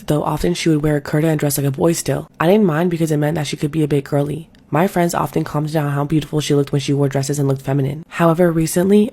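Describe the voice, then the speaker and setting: A narrator reading anonymous forum stories aloud in an even, unbroken scripted delivery. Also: mid-range voice. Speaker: storytime narrator.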